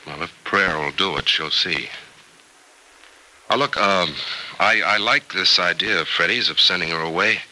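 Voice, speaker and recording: A man's voice: low-pitched, moderate pace, pushed and bright. An actor in an old-time radio soap opera playing a sober, caring American man, thoughtful and hesitant yet resolute about helping his friend. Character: grave, caring male friend, resolute and self-sacrificing. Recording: noisy vintage radio drama recording.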